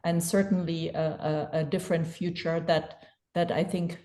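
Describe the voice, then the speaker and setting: A woman from Germany speaks as a panelist, feeling her way through ideas with frequent restarts. Her voice sits a little low. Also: steady tone, moderate pace, warm tone. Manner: thoughtful, hesitant, many restarts. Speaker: panel guest. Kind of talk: panel discussion.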